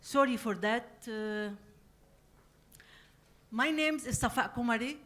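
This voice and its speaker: Bright-voiced Syrian woman presenting. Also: a little high. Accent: Syrian Arabic. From Aleppo, Syria. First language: Arabic. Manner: halting, non-native English phrasing. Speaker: conference speaker, plant virologist. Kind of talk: scientific conference presentation.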